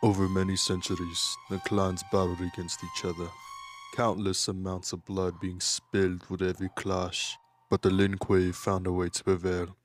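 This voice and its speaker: A man playing a devoted ninja father and skilled warrior in an audio drama, speaking in a measured, solemn, soft way. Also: low-pitched, level tone, faint music behind, lightly acted. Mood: solemn storytelling.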